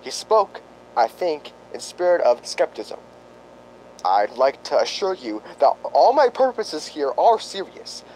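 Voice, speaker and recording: A man acts out an earnest doctor who hunts apparitions in a spooky radio play. He speaks formally and gravely, in a somewhat high voice with wide melodic swings. There is a faint rumbling noise behind the pedantic delivery. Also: big pitch swings, measured pace, a little mellow, low-quality recording. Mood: solemn, earnest. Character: solemn, earnest male ghost-hunting doctor, psychically sensitive. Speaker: paranormal investigator. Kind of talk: amateur radio drama acting.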